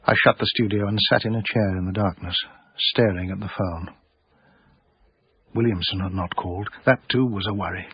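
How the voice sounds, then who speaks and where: An actor playing a middle-aged, melancholy art dealer, narrating a radio drama in a measured, restrained, formal way. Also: male voice, sits low, steady tone, breathy edge. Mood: brooding. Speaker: narrator and lead character. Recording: slightly dull radio recording, roomy.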